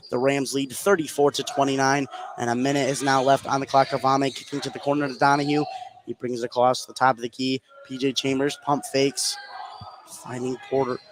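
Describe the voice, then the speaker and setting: A man's voice: mid-range voice, slight background noise. A man doing live play-by-play commentary on a basketball game. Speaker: play-by-play sports announcer. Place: indoor high school basketball game.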